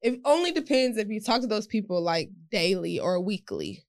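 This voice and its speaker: A woman co-hosting a casual podcast, speaking in an animated way and acting out the lines she quotes. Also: medium pitch, moderate pace. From Houston, Texas. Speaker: podcast co-host. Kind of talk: casual chat.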